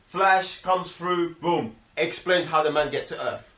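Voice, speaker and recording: A man's voice: fairly high pitch, unhurried, a bit bright, far from the mic. A man speaks from a little way off the mic in an echoey room. He talks film in an emphatic, slangy, casual way, slipping into short quoted lines. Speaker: film review show co-host.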